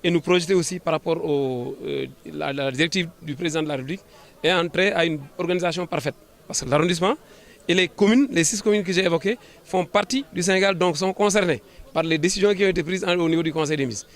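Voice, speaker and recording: A man with a Senegalese accent answering an interview as a government official, in formal administrative phrasing, often halting and repeating words. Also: on the high side. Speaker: government official, sub-prefect.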